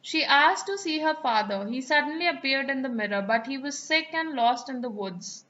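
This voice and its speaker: A woman narrating a children's fairy tale as an expressive, dramatic storyteller. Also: high voice, normal speed, lo-fi sound.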